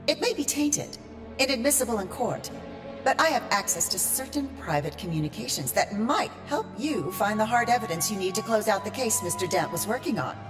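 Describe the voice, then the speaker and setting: A woman's voice: medium pitch, expressive, brisk, close to an everyday voice. An actress playing a cold, sardonic, seductive female antihero in an audio drama. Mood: cool, calculating. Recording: long reverb, prominent music underneath.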